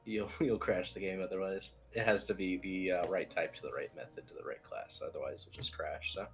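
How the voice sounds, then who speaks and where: A man's voice: deep voice, fairly quick, soft background music. A man giving an informal technical tutorial as team lead, explaining things in a loose, rambling way. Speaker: software team lead, instructor.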